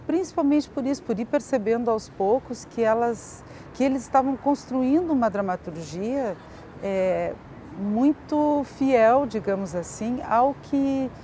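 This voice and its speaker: A woman, a theatre supervisor reflecting in an interview in a hesitant, measured way. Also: a little high, dark tone. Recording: faint outdoor city noise.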